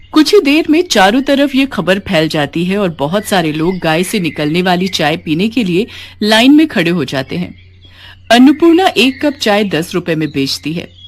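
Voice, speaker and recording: A woman narrates a scripted moral tale in expressive storyteller fashion. Her pitch is fairly low but very animated, and there is slight noise behind her.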